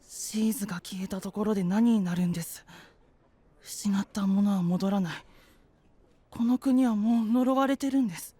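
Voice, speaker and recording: A woman's voice: medium pitch, normal speed, dark tone, husky, dry recording, lightly acted. A woman playing a gloomy sweets stall vendor in a Japanese voice drama, polite but resigned and without hope. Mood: bitter, hopeless.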